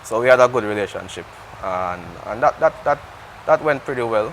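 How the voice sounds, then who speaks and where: A man's voice: mid-range voice, animated, fairly quick, fairly bright tone, a little hiss or hum, low-quality recording. A Jamaican man speaking as an interview guest in casual, conversational talk, often restarting his sentences. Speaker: interview guest, air traffic controller.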